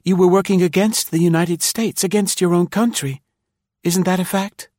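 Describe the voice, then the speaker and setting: A man's voice: on the high side, normal speed, dry recording, clean studio sound, pitched above the performer's own voice, close to an everyday voice. A man voicing a frightened young woman who speaks with earnest, dramatic feeling, by turns pleading and firm. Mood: determined.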